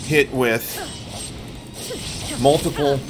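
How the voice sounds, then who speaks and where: A man's voice: medium pitch, fairly even intonation, drawn-out words, background noise. A middle-aged man giving casual live commentary as he plays a game. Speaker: game streamer. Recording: game music behind the voice. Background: game music.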